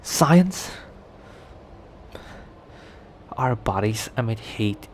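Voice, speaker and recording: A man playing a cocky, protective young werewolf stranger in an intimate ASMR roleplay, talking in a teasing, halting conversational way. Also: lowish voice, slight background noise, natural voice. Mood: casual, teasing. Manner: teasing, breathy sighs and chuckles, halting conversational delivery. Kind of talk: ASMR roleplay acting, intimate one-sided dialogue.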